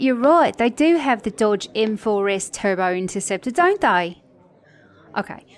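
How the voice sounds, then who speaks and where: A woman's voice: on the high side, natural voice. A woman with a mixed Australian and British accent playing a flirty, sassy, teasing car mechanic in an ASMR romance roleplay. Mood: cheerful, excited. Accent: Australian-British mix. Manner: flirty, teasing, playful, with soft chuckles.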